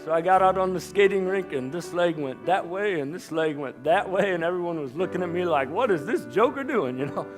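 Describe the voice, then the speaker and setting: A man's voice: high-pitched, lively intonation, soft background music. A man playing a shy, self-mocking young man in a church stage monologue, joking about himself and then pleading with strong feeling. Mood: wry, upbeat. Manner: quick self-deprecating jokes that swell into impassioned outbursts.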